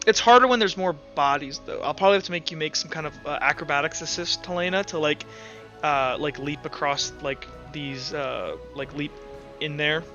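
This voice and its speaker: A man's voice: on the high side, normal speed, bright, forceful tone, dull audio, soft background music. A man acting as game master, casually narrating a tabletop roleplaying game.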